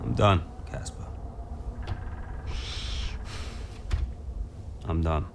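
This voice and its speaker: An actor playing a proud, defensive, troubled young racing driver in a video game, terse and guarded. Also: male voice, low-pitched, normal speed, gravelly, noisy background. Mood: defeated. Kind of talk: dramatic cutscene acting in a video game.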